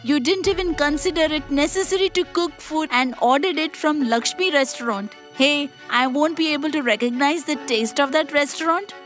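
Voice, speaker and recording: A woman playing a commanding mother-in-law in a moral-story cartoon, speaking with deliberate authority over music. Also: high voice, a bit bright. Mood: stern, scolding. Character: commanding mother-in-law testing her daughters-in-law. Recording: music behind the voice.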